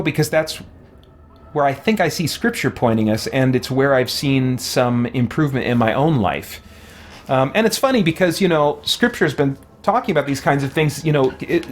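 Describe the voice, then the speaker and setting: A man with a tired, worn voice, talking casually on a livestream in an earnest, reflective way and often correcting himself as he thinks aloud. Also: on the high side. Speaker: host, video game content creator. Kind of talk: casual livestream talk, answering viewer questions.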